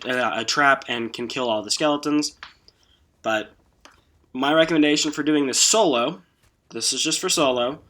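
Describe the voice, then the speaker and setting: A man giving a casual, somewhat halting walkthrough commentary as the host of a video game guide. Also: medium pitch, sharp tone.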